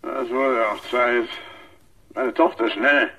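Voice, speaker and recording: Male radio-play magnate: sharp, raspy, impatient, barking curt orders down a phone. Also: medium pitch, slow pace, exaggerated voice, rough recording. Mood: impatient, imperious. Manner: curt, commanding. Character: imperious, impatient male tycoon father. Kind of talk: radio crime drama acting.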